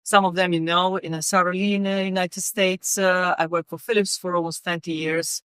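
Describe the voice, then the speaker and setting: A middle-aged woman from Serbia, speaking English as a guest in an interview, halting with many fillers and repeated words. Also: fairly low pitch, little pitch movement, fairly bright tone, clear, resonant tone, no echo. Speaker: guest, company executive. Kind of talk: casual interview conversation.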